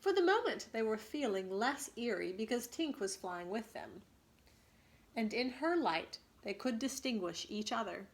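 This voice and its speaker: A woman teacher narrating a storybook aloud for students, expressively, with asides and questions to the children. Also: on the high side. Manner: expressive, pausing to ask questions.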